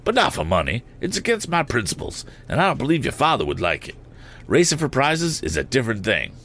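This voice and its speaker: A man voicing a seasoned, practical balloonist in an adventure audiobook, brisk and matter-of-fact. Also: mid-range voice, huge pitch swings, a bit bright, voice pushed up, full character voice. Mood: firm. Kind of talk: audiobook character voice.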